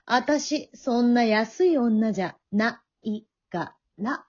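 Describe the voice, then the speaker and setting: A vain, coquettish pheasant madam voiced by a woman in a comedy radio drama. She speaks in a high, womanly voice with gentle condescension. The sound is a little dull. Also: expressive, takes it slowly. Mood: haughty, pouting. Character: proud, coquettish female pheasant, a worldly bar hostess. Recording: dull lo-fi audio.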